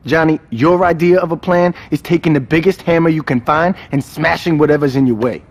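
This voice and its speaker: An actor playing a cautious, level-headed gang strategist in a video game cutscene, exasperated and no-nonsense. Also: male voice, fairly high pitch, fairly quick.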